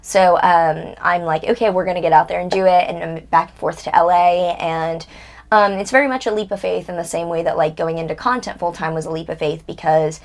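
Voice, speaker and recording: A young woman in her twenties speaks as an interview guest in casual conversation. Her voice sits somewhat low for a woman. She talks bluntly and candidly and swears without hesitation. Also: steady tone, brisk. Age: 20s.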